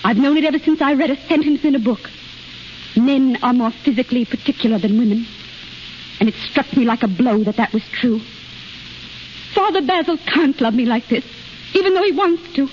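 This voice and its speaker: A woman's voice: high-pitched, moderate pace, long pauses, natural voice. A woman acting a proud, anguished young daughter, intense and earnest in a 1930s radio drama. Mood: anguished, impassioned. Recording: muffled, noisy 1930s radio recording.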